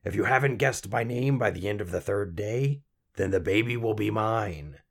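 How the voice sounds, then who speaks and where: A man voicing a sly, gloating little old gnome man from a fairy tale, croaky, snappish and taunting. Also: fairly low pitch, normal speed. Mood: menacing.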